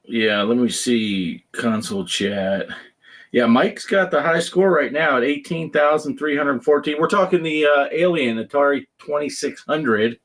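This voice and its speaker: A man's voice: fairly high pitch, a little slow, a bit bright. A man in his fifties hosting a casual gaming podcast, telling stories with lots of laughs and acting out quoted lines. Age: 50s. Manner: chatty storyteller who laughs often and acts out quoted lines. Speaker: podcast host. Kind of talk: casual chat.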